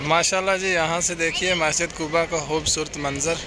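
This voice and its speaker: A man's voice: on the high side, fairly even intonation, moderate pace. Man, casual tour narration, devout, phone mic, outdoor traffic noise. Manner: devout, pointing things out. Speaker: vlogger giving a walking tour. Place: outdoors on city streets and at a mosque. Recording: noisy outdoor phone recording.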